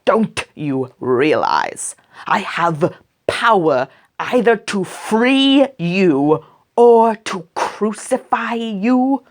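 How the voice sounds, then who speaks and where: A woman's voice: medium pitch, sing-song, extremely slow, voice pushed up. A woman voicing an uneasy male Roman governor in a read-aloud scripture story, raised and questioning.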